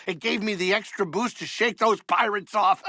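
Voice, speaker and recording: A creaky, high-voiced gruff alien pilot. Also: male voice, moderate pace, sharp tone, no echo, exaggerated voice. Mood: animated, eager. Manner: wisecracking, folksy, old-time wise-guy slang. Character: gruff, excitable, soft-hearted older alien pilot turned cantina cook. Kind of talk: video game character dialogue.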